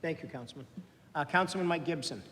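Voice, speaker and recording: A man chairing a city council meeting, calling on members in a loud, friendly, brisk way. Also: fairly high pitch. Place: council chamber. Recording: reverberant chamber, faint room noise.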